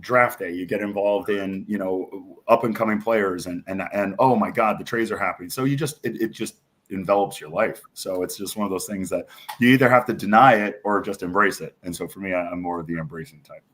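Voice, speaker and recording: A man's voice: deep voice, fairly quick, fairly bright tone. A man from New York City chatting casually as an interview guest, enthusiastic and rambling as he tells anecdotes. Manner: enthusiastic, rambling, frequent "you know". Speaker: bar owner, podcast guest.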